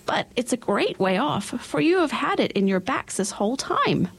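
A woman voicing the tiny queen of the field mice with a squeaky little voice, gracious and helpful. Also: big pitch swings, moderate pace, creaky, breathy edge, exaggerated voice. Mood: kindly helpful.

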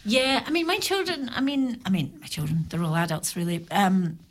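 A middle-aged woman talking as a guest on a community radio show, reflective and halting. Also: medium pitch. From Ireland or Scotland. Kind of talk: casual radio chat. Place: radio studio.

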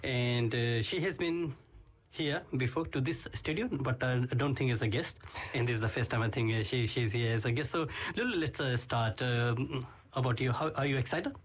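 A man's voice: medium pitch, moderate pace. A man hosting a community radio interview as its program director, speaking hesitantly with frequent filler sounds. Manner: switches between Hindi and English. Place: radio studio.

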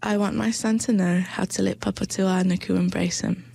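A young woman with a New Zealand accent, reciting spoken word poetry and reflecting on it in a soft, slow, measured way with hushed phrases. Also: mid-range voice, steady tone, creaky, husky.

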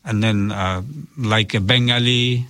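A man's voice: fairly low pitch, fairly even intonation, unhurried, a bit bright, clear, resonant tone. Earnest, formal man, native Nepali speaker. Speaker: interview guest, community worker and officiant for migrants and refugees.

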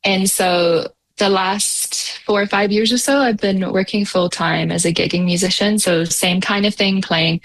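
A woman's voice: mid-range voice, steady tone, unhurried, hardly any pauses, poor audio. A young woman musician from New Zealand with a mixed New Zealand and Canadian accent, chatting casually in an interview with lots of fillers and laughs. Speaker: musician guest.

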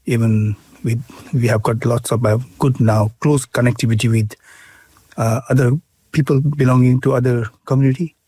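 Man, Bhutanese accent, middle-aged, interviewee, halting speech, dry sound. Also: medium pitch, fairly even intonation, mellow, slightly rough, dry recording. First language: Nepali. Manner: halting, many fillers and restarts. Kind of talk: casual interview.

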